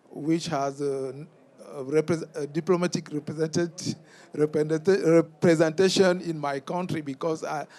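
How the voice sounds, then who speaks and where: A man from Cameroon, a French speaker with a Cameroonian French accent, giving halting remarks as a member of parliament on a conference panel, full of fillers and restarts. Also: a little high, a little mellow.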